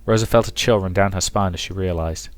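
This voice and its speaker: Male, lowish pitch, dramatic scripted narration, visual novel reader, dry close sound. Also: brisk, no echo. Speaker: let's play narrator.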